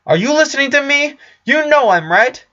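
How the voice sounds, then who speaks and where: A man's voice: very high-pitched, expressive, moderate pace, pushed and bright, lo-fi sound, pitched above the performer's own voice, heavily acted. A man voicing a determined female unicorn in a story reading, harsh and lovesick. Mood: shouting, pleading.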